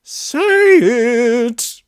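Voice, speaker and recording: A slow taunting inner beast, as a man puts it on. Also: medium pitch, expressive, no room sound, studio quality. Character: bloodthirsty male inner beast, menacing.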